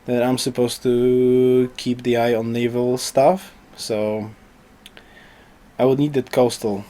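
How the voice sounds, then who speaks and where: Man commentating a strategy game, hoarse. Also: mid-range voice, flat delivery, slow pace, dark tone. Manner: thinking aloud, rambling with restarts. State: losing his voice. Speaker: gameplay commentator. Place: home.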